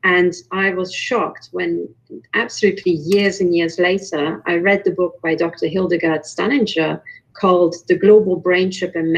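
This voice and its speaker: A woman from Romania giving personal testimony as a guest in an online panel, earnest and halting, with restarts. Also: fairly low pitch, breathy edge, lo-fi sound. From born in Romania, later moved to Germany. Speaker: invited panel guest, researcher. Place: remote online call.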